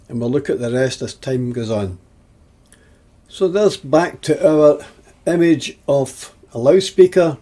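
A man in his seventies giving an explanatory technical lesson in a deliberate, halting way, with pauses between phrases and a husky voice. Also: medium pitch, mellow. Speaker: presenter of an instructional video.

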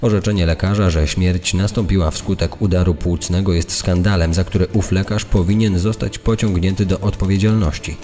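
Man acting a stern accusing reporter, very low mellow voice, formal dramatised article reading, long reverb. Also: fairly even intonation, fairly quick, few pauses, lightly acted. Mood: indignant. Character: indignant 1930s newspaper journalist, accusing man.

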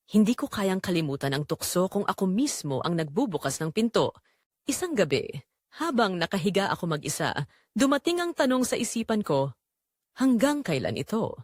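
A woman's voice: lowish voice, no room sound, studio quality. A woman with a Filipino accent reading a first-person scripted drama in a confessional, storytelling manner. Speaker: audio drama narrator.

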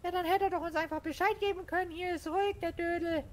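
Very high-voiced, fretful woman. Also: fairly even intonation, fast, slight background noise. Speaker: role-play gamer. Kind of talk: improvised in-character chat in a survival role-play.

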